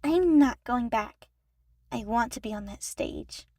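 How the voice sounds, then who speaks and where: Woman as a resolute, high-voiced young chipmunk singer. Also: animated, measured pace, dark tone, no room sound, clean studio sound. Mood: determined. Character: determined young female chipmunk singer, guarded and ambitious. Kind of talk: fan fiction audio story acting.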